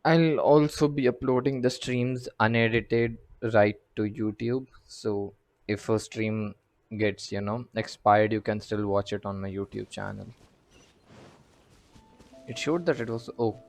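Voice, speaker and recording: A man doing casual live game commentary, halting and muttering to himself as he streams. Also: lowish voice, steady tone.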